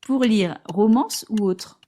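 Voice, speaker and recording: A woman's voice: fairly high pitch, slow. A woman hosting a book podcast, asking prepared interview questions and reading a book summary. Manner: steady, reads prepared questions. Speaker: podcast host.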